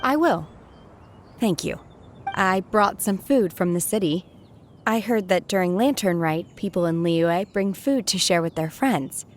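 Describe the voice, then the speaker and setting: A woman voicing a calm, detached young female exorcist in a game, cool and reserved. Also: medium pitch, measured pace, slight background noise, close to an everyday voice.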